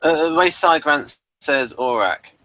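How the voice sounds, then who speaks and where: A man with a sharp, bright voice pitched a little high talks through a casual review. He is hesitant and halting in delivery. The sound is close and dry, with no room around it. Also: no room sound. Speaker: podcast reviewer.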